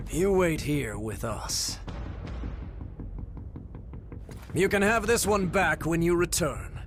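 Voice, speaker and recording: An actor playing a smug, menacing gang overseer in a video game, gravelly and condescending with a mock-polite manner. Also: male voice, fairly high pitch, noisy background. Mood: mocking, threatening. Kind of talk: video game cutscene acting. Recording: dramatic music behind the voice.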